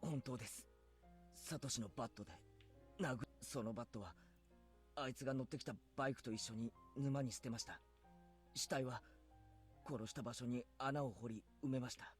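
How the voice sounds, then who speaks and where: An actor voicing an earnest teenage boy who talks in a steady, clear, resolved way. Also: mid-range voice, fast, pauses often, faint music behind, natural voice. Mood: resolute. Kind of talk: Japanese game voice acting.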